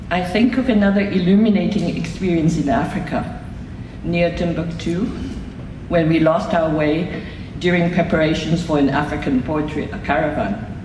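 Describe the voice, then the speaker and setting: An older woman from Hong Kong reading a prepared award acceptance speech in a measured, reflective way, recorded from afar in a reception hall. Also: deep voice. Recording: echoey hall from far off, noisy room.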